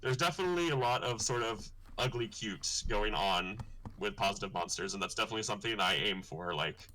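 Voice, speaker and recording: Bright-voiced man chatting on a stream. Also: brisk, slight background noise, poor audio. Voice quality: deep voice. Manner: thoughtful, rambling with fillers. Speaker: comic artist hosting his launch stream. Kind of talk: casual livestream chat.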